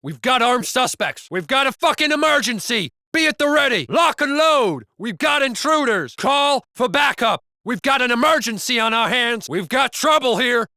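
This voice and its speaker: A man playing a gruff, profane American police officer in a video game, with blunt, colloquial delivery. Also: very high voice, animated, normal speed, few pauses, bright, forceful tone, no echo, clean studio sound, full character voice. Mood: urgent, alarmed.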